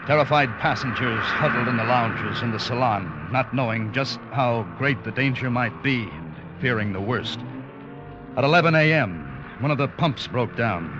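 An actor playing a grave, weary ship's officer in a vintage radio adventure drama, with dramatic, deliberate delivery. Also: male voice, medium pitch, lightly acted. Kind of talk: 1940s-50s radio adventure drama acting. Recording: dull vintage radio recording, music behind.

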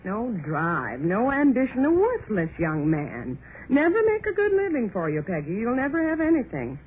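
A woman's voice: fairly high pitch, huge pitch swings, normal speed. A woman playing a stern, scornful mother who lectures her grown daughter emphatically in a 1930s radio drama. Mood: scornful warning. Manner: admonishing, emphatic, repetitive. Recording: muffled, noisy 1930s radio recording.

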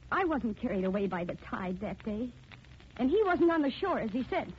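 An actress playing a determined, wronged young daughter in earnest, dramatic 1940s radio style. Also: female voice, a little high, very animated, normal speed, husky, full character voice. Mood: earnest, resolute. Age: young adult. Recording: muffled, noisy 1940s radio recording.

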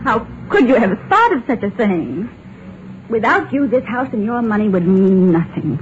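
A worried, soothing wife. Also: female voice, on the high side, very animated, moderate pace. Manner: soothing and pleading. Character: loving, worried wife of a frantic man. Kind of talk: 1950s radio drama acting. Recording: dull lo-fi old radio recording with hiss.

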